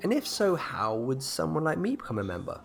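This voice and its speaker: An actor playing a polite, eager newcomer to a village in an audio drama, hesitant and apologetic. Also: male voice, on the high side, huge pitch swings, brisk, creaky, heavily acted. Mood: polite, curious. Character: eager, awkward, polite newcomer husband from London. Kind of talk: naturalistic audio drama acting.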